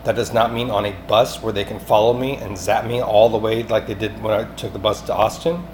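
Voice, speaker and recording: A low-pitched man speaks in a rambling, insistent monologue, as a caller leaving a voicemail. He is agitated and repeats himself, with some profanity. Clear noise runs through the recording. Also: fairly even intonation, brisk, darkish tone. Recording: clearly noisy amateur phone-call recording.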